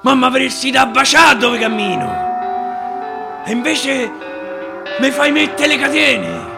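Radio play: betrayed explorer, performed by a man, Roman accent, high-voiced, declamatory, outraged, music underneath. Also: slow, vocal fry, heavily acted. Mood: wounded indignation. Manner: indignant, declamatory rhetorical questioning. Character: betrayed explorer raging at an ungrateful king. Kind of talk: radio theatre verse monologue.

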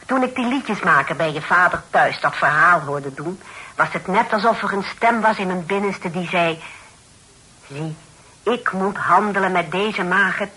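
A devout, severe older aunt in a Dutch radio play, with a Netherlands accent. The voice is rough-edged and sharp. Every phrase is declaimed with biblical solemnity and reproof. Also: female voice, on the low side, exaggerated voice, rough recording. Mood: solemn, preaching. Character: stern, pious, preachy older aunt. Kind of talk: Dutch radio drama acting.

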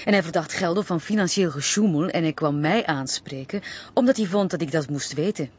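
An actress playing a guarded, composed intelligence agent with a Flemish accent, evasive and slightly condescending. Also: female voice, deep voice, brisk, darkish tone, husky. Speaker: secret service agent. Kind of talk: television crime drama. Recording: dull lo-fi TV audio with faint ambience.

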